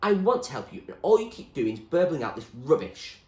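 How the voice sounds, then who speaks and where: A man acts out a frustrated girl from a storybook, pleading urgently. The voice is pitched high and swoops widely in exasperation. The room echoes around it. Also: sing-song, brisk, a little mellow. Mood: exasperated. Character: desperate, frustrated girl urging a friend to flee. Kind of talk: acted storybook dialogue.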